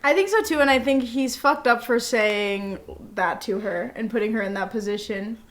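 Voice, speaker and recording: A woman's voice: a little high, normal speed, a little hiss or hum. A young adult woman co-hosting a podcast in casual chat, playful, giggly and teasing. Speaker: podcast co-host.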